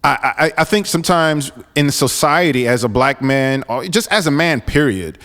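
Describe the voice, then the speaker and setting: A man in his forties from New York hosting a solo podcast, talking earnestly and haltingly with frequent restarts and fillers. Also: mid-range voice, fairly bright tone, long reverb tail. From New York, raised in Florida. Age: 40s. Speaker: podcast host.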